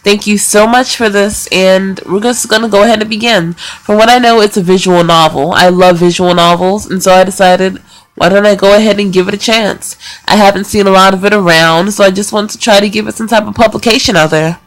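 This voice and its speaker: A woman's voice: medium pitch, fairly even intonation, fairly quick, poor audio. A woman hosting a let's play, giving casual, chatty gaming commentary. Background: music.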